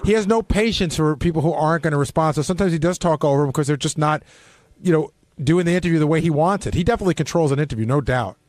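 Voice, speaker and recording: A man's voice: fairly high pitch, very fast, a little mellow, no echo. A man chatting casually and bluntly on a radio panel, swearing freely as he gives his opinions. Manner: blunt, profane, conversational. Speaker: radio show panelist and staffer. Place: radio studio.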